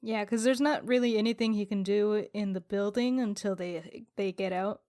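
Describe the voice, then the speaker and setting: A woman's voice: medium pitch, steady tone, moderate pace, dark tone. A woman chatting casually as a player in a tabletop role-playing game, describing her character's actions.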